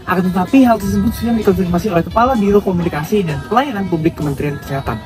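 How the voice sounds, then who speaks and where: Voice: medium pitch, very fast, over music. A student presenter reading formal narration for a presentation. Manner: read-aloud, formal.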